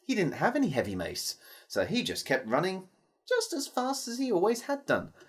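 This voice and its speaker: A storyteller telling a tale to children in a theatrical manner, with dramatic pauses and questions to the listeners. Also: mid-range voice, wildly swooping pitch, breathy edge.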